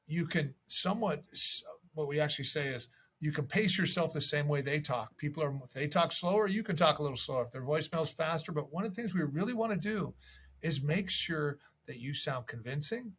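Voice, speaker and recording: Husky, higher-voiced man coaching. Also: a bit bright. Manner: conversational, acts out sample calls. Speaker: real estate sales coach. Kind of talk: instructional video talk with sales tips.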